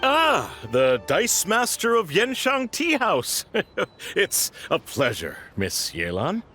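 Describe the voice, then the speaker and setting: Unhurried, melodic delivery, a smooth flattering antique collector, game dub. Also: male voice, sits high, faint music behind, full character voice. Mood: charming. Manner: polished, flowery compliments. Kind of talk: video game voice acting.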